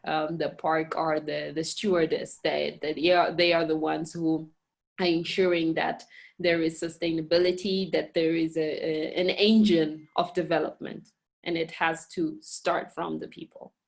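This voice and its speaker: Woman from Mozambique, lower register, halting interview answers full of fillers. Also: little pitch movement, vocal fry. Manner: hesitant, many fillers and restarts. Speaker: communications director and girls education program manager at a national park.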